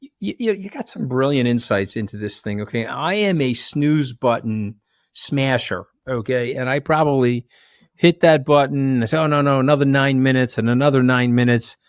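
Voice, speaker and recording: A man's voice: mid-range voice, moderate pace, smooth, dry recording, studio quality. A middle-aged man hosting an interview, asking questions in a blunt, joking, informal way. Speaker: podcast host.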